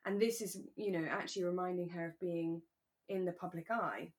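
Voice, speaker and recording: Lower-pitched woman podcast host. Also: steady tone, fairly quick. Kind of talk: relaxed discussion of a radio drama.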